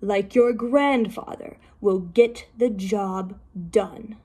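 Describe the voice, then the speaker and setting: A regal dragon king from a children's storybook, voiced by a woman. The delivery is stately, slow and emphatic, with a commanding royal air. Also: mid-range voice, fairly even intonation, warm tone, husky. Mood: hopeful, commanding.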